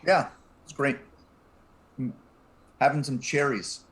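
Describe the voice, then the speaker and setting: A man's voice: mid-range voice, slow pace, a bit bright, rough recording. A man talking casually as a guest on a panel show. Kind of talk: casual chat.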